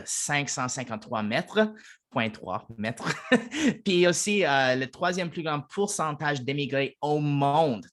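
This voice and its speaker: A man with an English-speaking Canadian accent presents in a language that is not his first. His voice sits at a middle pitch, and he hesitates, filling gaps and circling back over words as he explains. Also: fairly bright tone. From Toronto, Canada. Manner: hesitant, many fillers and restarts in his second language. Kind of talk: informational presentation.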